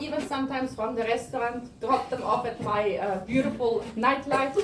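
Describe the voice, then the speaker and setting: A woman in her thirties giving an animated motivational talk to an audience, acting out the conversations she tells. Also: fairly high pitch, slight background noise. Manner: animated storytelling, acts out dialogue. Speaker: guest speaker. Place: event space, sunset gathering. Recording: echoey room, distant mic.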